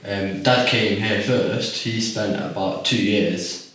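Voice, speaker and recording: A man in his twenties from Iraq, talking calmly and reflectively as a podcast guest, with frequent ums. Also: lowish voice, takes it slowly, heavy reverb, recorded from a distance, rough recording. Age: 20s. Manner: calm, reflective, many ums. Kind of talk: casual interview.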